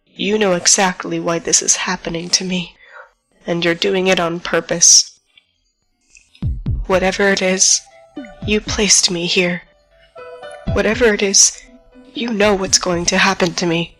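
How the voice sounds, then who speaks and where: A woman playing a frightened but defiant starship captain in an audio drama, speaking in a halting, emotional monologue. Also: on the low side, fairly even intonation, a bit bright, low-quality recording. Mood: accusing, bitter. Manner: halting, emotional, addressing an unseen foe. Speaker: voice actor in an audio drama podcast. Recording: music behind the voice.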